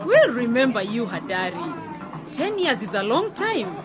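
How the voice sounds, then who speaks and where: Lively, teasing village woman. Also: on the high side, measured pace, over music. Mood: warm, reassuring. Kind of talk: radio drama acting.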